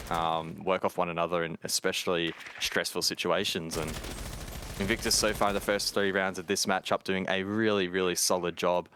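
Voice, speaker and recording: A man giving analytical colour commentary on a live esports match in a measured, wordy way. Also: deep voice, noisy background.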